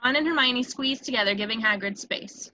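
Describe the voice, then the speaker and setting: A woman narrates screenplay action and scene headings. Her voice is on the high side and crisp-edged, with a bright, forceful delivery suited to scripted narration. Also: a little fast, lo-fi sound. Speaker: stage directions narrator.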